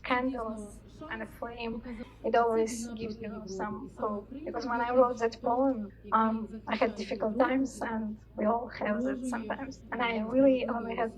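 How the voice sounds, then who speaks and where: A young woman with a Ukrainian accent, speaking English as a second language, answers questions at a film event with thoughtful pauses. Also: fairly high pitch, little pitch movement, crowd noise, low-quality recording. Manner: thoughtful, frequent hesitations, soft laughs. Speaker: poet and filmmaker guest.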